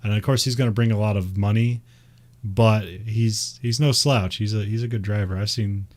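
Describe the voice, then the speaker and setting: A man's voice: lowish voice, fairly even intonation, moderate pace, a little mellow. Man, Midwest accent, casual rambling chat, frequent fillers, laughs a lot. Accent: Midwest American. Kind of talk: casual chat about racing.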